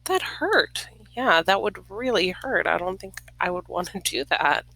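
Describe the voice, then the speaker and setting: A woman reading a children's story aloud expressively, with dramatic pauses, hushed near-whispers and casual asides. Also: mid-range voice, expressive, vocal fry, no room sound, low-quality recording. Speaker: storybook reader.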